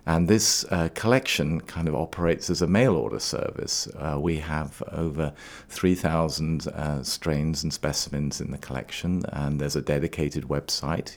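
A middle-aged man speaks in an exceptionally deep voice as an expert interview guest. He is from Trinidad and spent years in Scotland. He explains things in an articulate, measured way. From Trinidad, later Scotland. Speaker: research laboratory director, interview guest.

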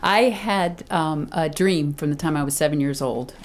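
Low-voiced woman, thoughtful and laughing. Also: normal speed. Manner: thoughtful, laughs often, many restarts. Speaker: children's book author and illustrator. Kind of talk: reflective interview talk.

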